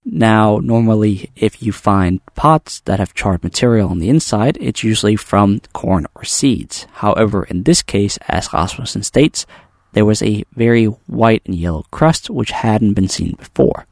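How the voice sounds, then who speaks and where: A man with a fairly low voice reads through a series of news stories in a steady, scripted way, pausing now and then on a filler. The sound is dry and close, with no room around it. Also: creaky, dry recording. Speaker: news presenter. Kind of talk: reading a news roundup.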